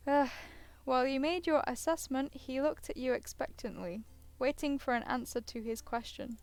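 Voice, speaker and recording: A woman's voice: sits high, normal speed, a little mellow, soft background music. A woman gaming streamer reading a visual novel aloud, casually breaking off into hesitant asides and sounding tired. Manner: hesitant, self-interrupting asides. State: tired, yawning.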